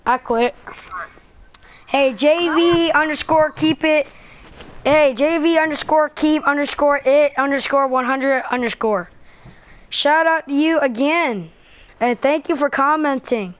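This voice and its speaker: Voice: high-pitched, a little slow. A young streamer chatting casually over gameplay in a slangy, rambling way. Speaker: gaming streamer.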